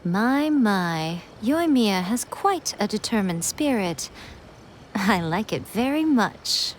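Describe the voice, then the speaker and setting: A woman's voice: mid-range voice, big pitch swings, slow pace, slight background noise. A woman playing a sly, regal lady in a video game, teasing and poised. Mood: amused. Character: sly, playful, regal lady shrine head.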